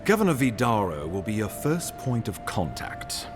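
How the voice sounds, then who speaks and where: Low, expressive, weary male ambassador. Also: music in the background. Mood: instructing, formal. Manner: measured, formal, resigned. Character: weary, dutiful male imperial ambassador, self-doubting yet courteous. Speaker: voice actor. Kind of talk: dramatic fantasy game dialogue.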